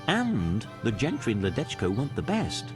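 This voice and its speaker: An actor playing a frank, shrewd, folksy medieval livestock dealer in a video game. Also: male voice, mid-range voice, wildly swooping pitch, moderate pace, music in the background. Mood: frank haggling. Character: male medieval livestock supplier, frank, shrewd village tradesman. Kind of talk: video game cutscene acting.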